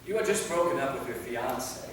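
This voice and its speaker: An actor playing a flustered, lovestruck amateur thief in a staged play reading. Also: male voice, mid-range voice, lively intonation, brisk, close to an everyday voice. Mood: nervous confession. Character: Henry, flustered lovestruck man caught stealing his diary. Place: theater hall, mic at a distance. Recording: roomy hall from far off, noisy.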